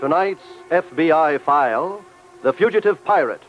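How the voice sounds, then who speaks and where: Higher-pitched 1940s radio pitchman, muffled. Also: expressive, normal speed, loud music behind the voice. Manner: formal, direct to listener. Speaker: radio announcer. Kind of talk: 1940s scripted radio advertisement.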